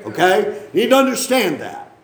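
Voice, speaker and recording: A man's voice: high voice, huge pitch swings, normal speed, roomy sound. A man preaching a sermon, reading scripture aloud and explaining it in a conversational way, with frequent questions to the congregation and joking asides. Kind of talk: sermon, Bible reading and teaching.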